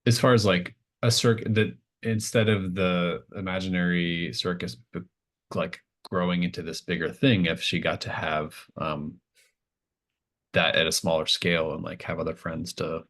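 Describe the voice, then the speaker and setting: A man casually talking as a game master, agreeable and tentative, halting as the ideas get worked out. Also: low-pitched, level tone, fairly bright tone. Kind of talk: casual collaborative game chat.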